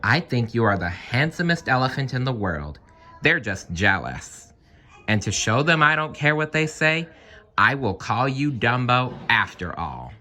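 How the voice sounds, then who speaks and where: A man's voice: medium pitch, big pitch swings, a little slow, bright, forceful tone. Man voicing an earnest, protective mother elephant. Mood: tender, defiant. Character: protective mother elephant, tender but fierce about her baby. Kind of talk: storybook character voice.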